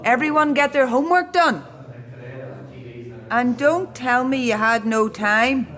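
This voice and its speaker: A woman playing a brisk, exacting prison education teacher in a radio play, firm and instructive. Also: sits high, a bit bright.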